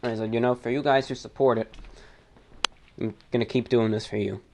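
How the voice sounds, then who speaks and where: A man hosting a listener-mail show, reading letters and commenting casually in a halting, deliberating way, often repeating his phrases. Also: mid-range voice, fairly even intonation, gravelly, darkish tone.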